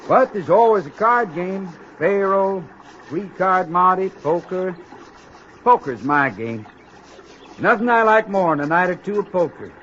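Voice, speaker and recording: Folksy Old West prospector, old radio sound. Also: male voice, sits high, animated, measured pace, fairly bright tone. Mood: friendly, eager. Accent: American. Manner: folksy rustic drawl, ungrammatical frontier talk. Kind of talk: 1950s radio western acting. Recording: dull, noisy old radio recording.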